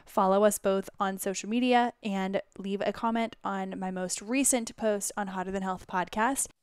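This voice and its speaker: A woman's voice: medium pitch, steady tone, normal speed, darkish tone, smooth, no echo. Bubbly woman podcast host, dry sound. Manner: bubbly, laughs easily, upbeat. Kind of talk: podcast interview.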